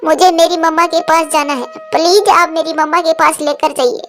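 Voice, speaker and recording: A woman plays an innocent little girl bird in a Hindi cartoon story. The voice is very high, earnest and plaintive, the sound of a child who misses her mother. Faint music plays behind it. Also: steady tone, normal speed, a bit bright. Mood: upset, accusing.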